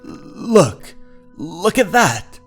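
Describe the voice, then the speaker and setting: A man's voice: high-pitched, big pitch swings, drawn-out words, slightly rough, voice pushed up, exaggerated voice. A man voicing an alarmed, bewildered young male hiker in a horror manga dub, stammering and exclaiming. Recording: music under the voice.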